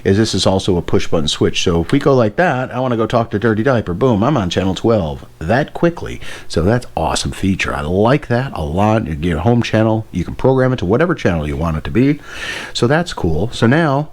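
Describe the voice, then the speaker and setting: American male hobbyist, lowish pitch, informal enthusiastic demo delivery, off the cuff. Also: sing-song, fairly quick. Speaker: radio hobbyist presenter. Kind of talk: casual hands-on product demonstration.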